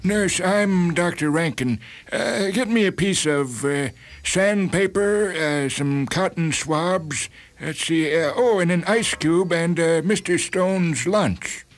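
A man playing a polite, uneasy hospital doctor with a mild, halting manner in a dramatised story. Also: fairly high pitch. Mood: hesitant. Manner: halting, mild, full of hesitations.

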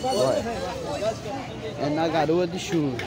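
Guy w pernambuco accent vlogging a street market, highish voice, loads of crowd noise, chatty. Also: steady tone, slow pace. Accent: Northeastern Brazilian, Pernambuco. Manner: calls out and greets passersby informally. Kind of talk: casual chatty walk-through commentary. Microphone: handheld phone held by the person filming.

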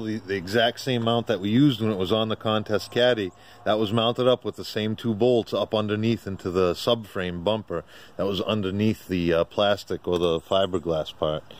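A man narrating a casual, unscripted walkaround video, speaking in a halting, plain-spoken, colloquial way. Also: lowish voice. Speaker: amateur radio hobbyist narrating own video. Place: outdoors in a residential driveway. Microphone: handheld camera or phone, operator off screen. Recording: outdoor ambient noise, birdsong. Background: birds and outdoor ambience.

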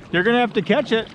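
A middle-aged man filming outdoors on a lake with an action camera, excitedly coaching his young son through landing a fish. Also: very high voice, slight background noise. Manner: encouraging, excited, coaching a child. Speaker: vlogger. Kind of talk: casual coaching commentary.

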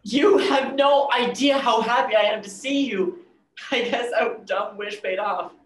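A woman's voice: fairly high pitch, measured pace, natural voice. A woman as a flustered suitor in a roomy space. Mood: smitten. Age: young adult. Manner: hesitant, stammering. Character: awkward, smitten young man, easily flustered. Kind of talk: staged play reading. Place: indoor room, video call. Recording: roomy video call, background noise.

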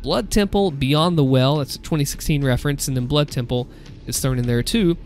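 A man hosting a gaming video, reading and commenting in a deliberate, theatrical narrator style. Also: medium pitch, a little mellow, soft background music.

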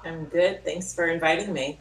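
Female, 30s. Low voice, explanatory guest delivery from a roomy, echoing space. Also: steady tone, normal speed. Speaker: guest, leadership trainer. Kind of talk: explanatory interview talk. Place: small indoor room, video call. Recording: roomy echo, faint noise.

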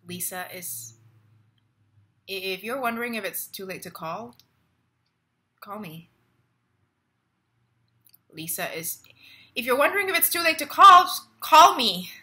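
A woman's voice: a little high, lively intonation, a little slow, pauses often, pushed and bright, exaggerated voice. A young woman acting a stage monologue as a lonely, wry, restless woman reading out her online status updates. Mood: frazzled. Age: young adult. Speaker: actor in a staged play reading. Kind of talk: dramatic monologue read as social media status updates. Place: living room at home. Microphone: laptop webcam at close range.

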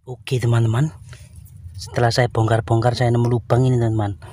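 A man with a warm, mellow voice gives casual running commentary to his viewers while fishing outdoors. He keeps breaking into short exclamations as he works. The sound comes from a camera worn on his body, with faint outdoor noise behind him. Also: medium pitch, little pitch movement, a little fast. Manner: talks to viewers, frequent exclamations. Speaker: fishing vlogger. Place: outdoors by muddy rice field water. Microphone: body-worn action camera.

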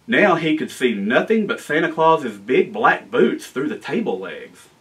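An American man in his forties reading a children's storybook aloud in an animated, expressive way. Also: medium pitch, measured pace, recorded from a distance. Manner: animated, expressive reading with asides to young listeners. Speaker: guest storyteller, town official. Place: library room with bookshelves, indoors.

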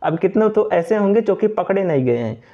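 A man's voice: high-pitched, expressive, fairly quick, mellow. A young man giving an informal explainer voice-over, going over points repeatedly. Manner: repetitive, explanatory. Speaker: exam-update channel presenter. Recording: dull, lo-fi voice recording.

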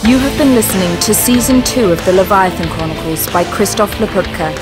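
A woman reading scripted dramatic narration as the narrator of an audio series. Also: medium pitch. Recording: music under the voice.

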